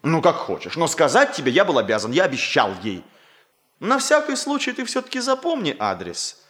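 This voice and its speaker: Актёр играет весёлого, насмешливого влюблённого студента в радиокомедии шестидесятых. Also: male voice, a little high, brisk. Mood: teasing. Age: young adult. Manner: playful, animated, teasing. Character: cheerful, teasing young Warsaw student in love. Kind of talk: 1960s stage-like radio comedy acting.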